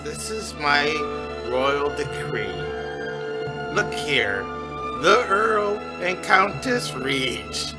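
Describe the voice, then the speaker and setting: Slow, stammering hapless husband acted by a man. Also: on the high side, very animated, heavily acted. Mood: excited. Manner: halting, stammering, apologetic. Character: hapless, apologetic middle-aged husband, earnest and hesitant. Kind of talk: comic noir radio drama acting. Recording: music behind.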